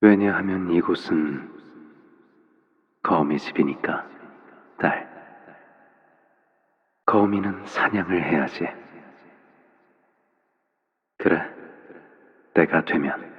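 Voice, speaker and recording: A fatherly video game villain with a deep voice that hangs in long reverb. He is calm and cryptic, softly spoken, and lets each measured phrase settle before the next. The menace stays quiet beneath a gentle, parental manner. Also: dull audio. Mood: gentle, ominous. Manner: soft-spoken, unhurried, measured, pausing between phrases. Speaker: video game character voice actor. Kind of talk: game character voice lines, slow theatrical monologue.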